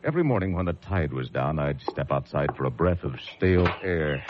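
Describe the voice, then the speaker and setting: An actor playing a wry, world-weary American lighthouse keeper, narrating a horror tale with deliberate, dramatic delivery. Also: male voice, rumbling low, expressive. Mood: grim, suspenseful. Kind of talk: first-person radio drama narration. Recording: muffled radio drama recording with music.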